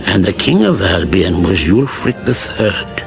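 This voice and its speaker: A man playing a solemn chronicler, narrating a mock-epic radio comedy with grave deadpan portent. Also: low voice, unhurried, vocal fry, over music, full character voice. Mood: portentous, deadpan.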